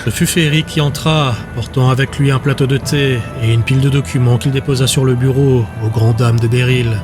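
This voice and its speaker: A man's voice: medium pitch, steady tone, fairly quick, over music. A man giving scripted narration for a fantasy audiobook.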